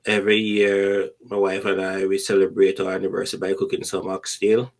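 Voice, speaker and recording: A middle-aged Jamaican man with a fairly low voice, a guest in a casual podcast chat. He tells stories in a conversational way and repeats words to drive a point home. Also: flat delivery, brisk, gravelly.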